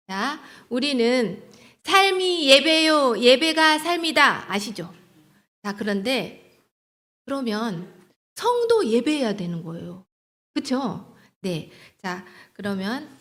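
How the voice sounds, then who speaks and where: A woman lecturing at a church training, teaching and exhorting, posing questions to her listeners and answering them herself, often checking for agreement. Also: fairly high pitch. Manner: asks the audience rhetorical questions, then answers them herself; seeks agreement with short tag questions. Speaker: lecturer at a church sex-values training for leaders.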